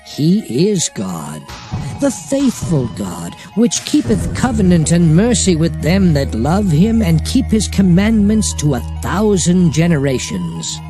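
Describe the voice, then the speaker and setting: A man playing a solemn prophet exhorting his people, declaiming archaic scripture with deliberate phrasing in a dramatized Bible reading. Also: high voice, big pitch swings, heavily acted. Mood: solemn, earnest. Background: music.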